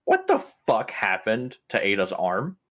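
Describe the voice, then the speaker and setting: A man's voice: on the low side, wildly swooping pitch, a little slow, a bit bright. A man chatting casually as a tabletop game player, blunt and sardonic, swearing freely. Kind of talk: casual out-of-character game discussion.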